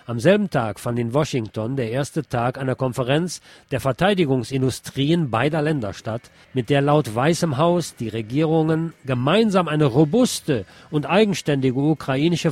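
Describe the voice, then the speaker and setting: Male radio host reading news pieces aloud, measured, dry sarcastic asides, soft music under him. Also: medium pitch.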